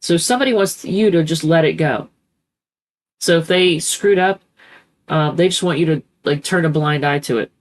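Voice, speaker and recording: A woman's voice: sits low, moderate pace. A woman with a congested voice from a cold, chatting loosely and rambling as she reads tarot cards. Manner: rambling, chatty, self-correcting with many ums. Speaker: tarot reader. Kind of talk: casual tarot reading.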